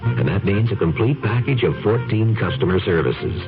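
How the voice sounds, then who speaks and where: Man, radio ad announcer, lowish voice, upbeat, tinny phone-like sound, music bed. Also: big pitch swings. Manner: brisk, upbeat salesman delivery. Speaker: commercial announcer. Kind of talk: radio ad read.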